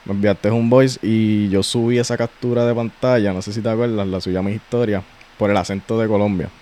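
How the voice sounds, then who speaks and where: A man with a Puerto Rican accent hosting a podcast, chatting casually in a slangy, relaxed way with frequent restarts. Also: lowish voice, fairly quick. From Puerto Rico. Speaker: podcast host.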